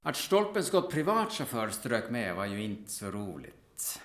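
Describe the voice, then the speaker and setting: A man playing a shrewd, seasoned investigator of corpses, speaking with macabre matter-of-fact calm in an echoing room. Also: mid-range voice, over loud music, close to an everyday voice. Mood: curious, musing. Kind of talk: audio drama acting.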